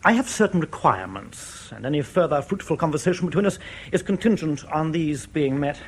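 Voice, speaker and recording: A man's voice: fairly high pitch, lively intonation, a little hiss or hum. An actor in a radio drama playing an anxious, proud East German official in his thirties who has defected, speaking formally and carefully. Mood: tense, guarded. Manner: formal, careful, precise diction with hesitant pauses.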